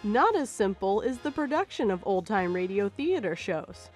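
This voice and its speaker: A woman's voice: mid-range voice, very animated, moderate pace, faint music behind. A woman narrating a TV magazine feature in a polished, scripted voice-over. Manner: bright, polished voice-over delivery. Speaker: program narrator.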